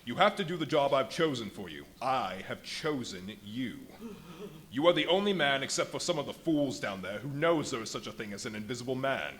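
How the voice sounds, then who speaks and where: A desperate invisible man, played by a stage actor, domineering and theatrical. His delivery swings dramatically in pitch as he commands and threatens, in a live radio play with light background noise. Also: lively intonation, a little fast, fairly bright tone, close to an everyday voice. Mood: menacing, commanding. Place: live performance at a brewery venue. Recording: noisy live stage recording with ambience.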